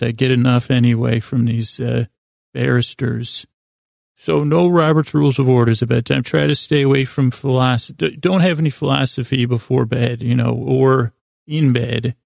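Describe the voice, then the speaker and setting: A man hosting a bedtime podcast in a creaky, gravelly voice, rambling and halting, repeating himself and wandering into absurd asides. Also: mid-range voice, a little mellow, dry recording, studio quality. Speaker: podcast host. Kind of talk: rambling bedtime monologue to help listeners sleep.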